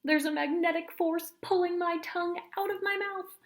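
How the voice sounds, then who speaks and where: A woman's voice: very high-pitched, steady tone, moderate pace, voice pushed up. A woman voicing a cheeky, impulsive young girl who protests and pleads, for a children's storybook. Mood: helpless, whiny. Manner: exclamatory, protesting. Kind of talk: children's storybook read-aloud character voice.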